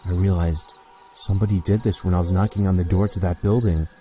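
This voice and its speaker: A man reading a scripted first-person horror story in a measured, deliberate way, with pauses between phrases. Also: low voice, fairly even intonation, warm tone, slight background noise. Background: background music.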